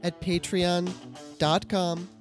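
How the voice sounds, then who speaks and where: Easygoing woman podcast host, lower voice. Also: little pitch movement, takes it slowly, soft background music. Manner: easygoing, laughs often. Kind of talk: casual chat.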